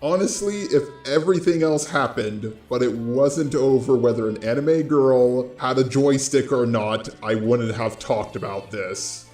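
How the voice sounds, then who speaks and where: A man's voice: medium pitch, a little slow, few pauses, darkish tone. A man speaks in a middle-range voice with noticeable room echo. He narrates like an online commentator, working through a scripted but informal take. Faint music plays underneath. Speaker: YouTube drama commentator.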